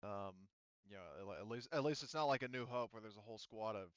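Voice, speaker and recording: A man talking casually as game master at a tabletop game, in a rambling, halting way full of filler phrases. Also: on the low side, smooth, no echo, highs cut off.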